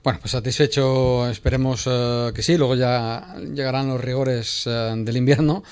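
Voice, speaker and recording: A man's voice: mid-range voice, fairly even intonation, moderate pace, a little mellow, smooth. A man presenting a sports news piece in a conversational way, with frequent fillers and hesitations.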